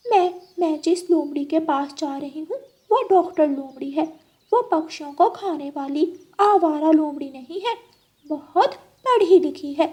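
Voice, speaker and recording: Sly female cat for kids' cartoon played by a woman: very high pitch, coaxing, falsely sweet. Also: a little slow, warm tone. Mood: persuasive. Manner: coaxing, pleading, punctuated with meows. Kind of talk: children's animal cartoon voice acting.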